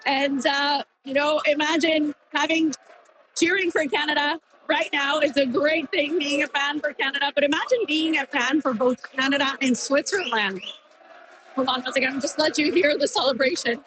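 High-voiced woman reporting live outdoors. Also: normal speed, background noise. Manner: lively and upbeat. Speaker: field reporter. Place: outdoors at a street viewing party.

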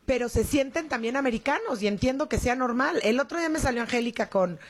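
A woman's voice: high voice, animated, fast, husky. A woman, co-hosting a casual radio chat in a chatty, joking way. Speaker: radio co-host.

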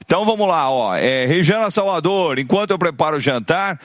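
A man's voice: on the high side, expressive, brisk, dry recording, studio quality. A man with a Brazilian Portuguese accent hosting a live radio sports call-in show, chatting and reading listener messages aloud.

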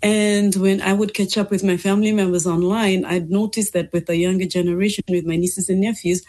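A woman's voice: medium pitch, level tone, fairly quick, dark tone. A young woman from Fiji, an English teacher, answering interview questions thoughtfully with frequent ums and restarts.

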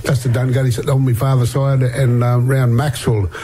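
A man, a guest on a radio interview, speaking haltingly with many repeated words. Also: mid-range voice, level tone, mellow, breathy edge. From north coast of New South Wales, Australia. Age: 60s. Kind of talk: personal testimony in a radio interview.

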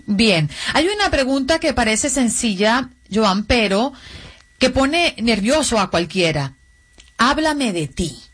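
A woman with a fairly high-pitched voice works as an interview host. She puts questions to her guest on behalf of an audience. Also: very animated, takes it slowly, a bit bright, breathy edge.

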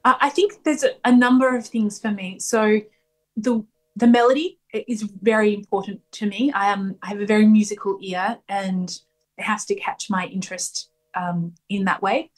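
Woman, folk singer, radio interview, thoughtful, hesitant pauses. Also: mid-range voice, fairly even intonation. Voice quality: strong voice. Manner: thoughtful, hesitant with many ums. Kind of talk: radio interview, casual answers.

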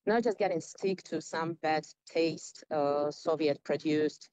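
A woman's voice: sits low, slow pace, darkish tone, dry recording, lo-fi sound. A woman with a Ukrainian accent, a non-native English speaker, giving firm and dismissive opinions as an interview guest. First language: not English. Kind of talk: opinionated live discussion.

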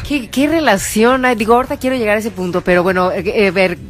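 A woman hosting a casual radio interview, relaxed and drawn out, with fillers. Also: medium pitch, fast.

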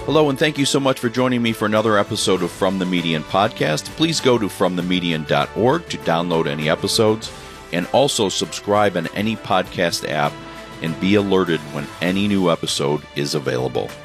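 A man's voice: low voice, lively intonation, fairly quick, over music. A man hosting a podcast interview, upbeat and earnest as he welcomes listeners and introduces his guest. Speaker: podcast co-host. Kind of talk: hosted interview.

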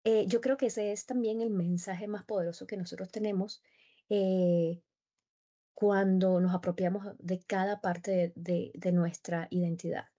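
A woman whose first language is Spanish, speaking as a guest in a conversational interview with animated, emphatic storytelling. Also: medium pitch, unhurried, muffled recording.

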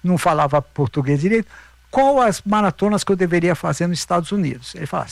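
A man's voice: high voice, animated, fast talker, creaky, dry recording. A middle-aged man with a Brazilian accent telling anecdotes in a casual interview, emphatic and repeating himself. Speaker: interview guest, veteran marathon runner.

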